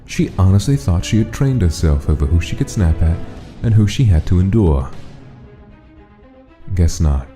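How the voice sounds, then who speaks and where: A man's voice: low-pitched, expressive, normal speed, dark tone. A man narrating a dramatic story from a script, as the narrator of a comic dub. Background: music.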